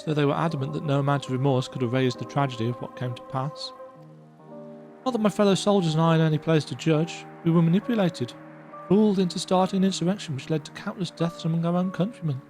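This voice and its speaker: A woman voicing a weary, earnest young male imperial soldier who speaks in a reflective, softly resigned way. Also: sits low, quick pace, soft background music, close to an everyday voice.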